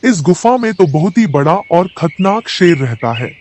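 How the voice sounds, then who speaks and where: A man voicing a loyal, watchful crow, an earnest friend in an animated animal fable. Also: fairly high pitch, moderate pace. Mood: urgent warning.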